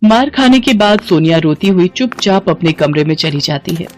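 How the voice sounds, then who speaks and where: Animated woman storyteller. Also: on the low side, normal speed. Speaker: narrator. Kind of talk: scripted dramatic story narration. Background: background music.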